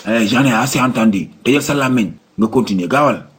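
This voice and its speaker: A man's voice: medium pitch, brisk, slightly rough. An actor playing a stern, curt policeman in a comedy cartoon, with a Senegalese accent. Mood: stern, threatening. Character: stern, no-nonsense policeman questioning and ordering people around.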